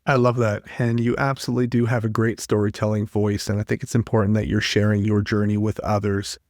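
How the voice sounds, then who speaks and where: Male podcast host, friendly and conversational, mid pitch, dry studio sound. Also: flat delivery, brisk, a little mellow, dry recording. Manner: friendly, conversational, reads out resource details. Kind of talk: interview hosting with scripted info segments.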